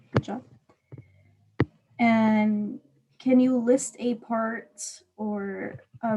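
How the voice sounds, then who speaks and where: A woman teacher with a husky voice reading aloud and patiently putting simple guiding questions to a student. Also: medium pitch, dark tone, highs cut off. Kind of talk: read-aloud and one-on-one comprehension questions.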